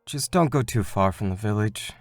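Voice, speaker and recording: A man playing a calm, earnest mentor of a spiritual order in an animated fandub, speaking in a measured, solemn way. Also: lowish voice, no room sound, soft background music. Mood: patient, firm.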